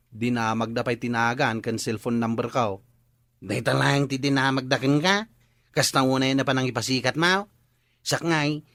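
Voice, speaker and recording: A man's voice: medium pitch, a bit bright, no echo, clean studio sound, natural voice. Cocky, bragging village watchman played by an actor. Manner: bragging, teasing. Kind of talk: Ilocano radio comedy drama acting.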